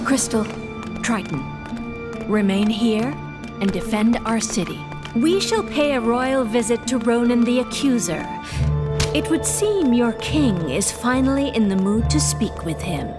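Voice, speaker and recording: A woman's voice: a little high, animated, measured pace, over loud music. An actress playing a resolute warrior queen in a game cutscene, commanding, earnest and dramatic. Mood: composed, commanding. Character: regal, resolute warrior queen, stern and protective.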